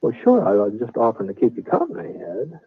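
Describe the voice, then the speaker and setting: An American actor playing a mild-mannered, thoughtful cowboy in an old-time radio western, speaking in a halting, folksy way. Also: male voice, medium pitch, huge pitch swings, slightly rough, dry recording, heavily acted. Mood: hesitant, polite. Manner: hesitant, halting, folksy drawl. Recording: dry, muffled old radio recording.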